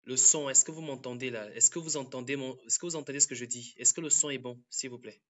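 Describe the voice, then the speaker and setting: A man's voice: mid-range voice, a bit bright. Congested man. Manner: halting, repetitive, apologetic, many fillers. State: has a cold, congested. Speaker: live streamer. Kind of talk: social media live stream.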